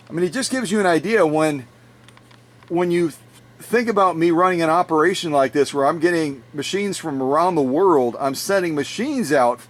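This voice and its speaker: A middle-aged man speaks in a fairly high voice. He chats casually to his viewers from his workshop and rambles on, doubling back on his words and tossing in friendly asides. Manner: chatty, repeats and restarts phrases, friendly asides. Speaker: vintage sewing machine restorer and channel host. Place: home workshop.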